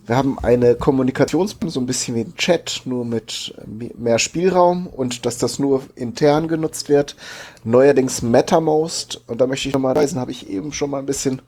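A man's voice: medium pitch, normal speed, darkish tone. A man co-hosting a casual group podcast, hesitantly searching for his words. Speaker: podcast co-host.

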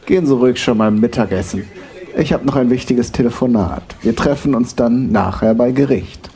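A man playing a brisk, demanding senior law partner in an audio drama. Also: mid-range voice, warm tone, close to an everyday voice. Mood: brisk, stern. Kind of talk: German audio drama dialogue.

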